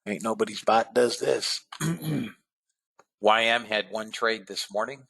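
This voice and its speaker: A man hosting a live trading webinar, talking casually to his viewers and calling them guys and fellas, a bit hoarse. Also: fairly low pitch, slow pace, fairly bright tone. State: slightly hoarse voice. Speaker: trading room host.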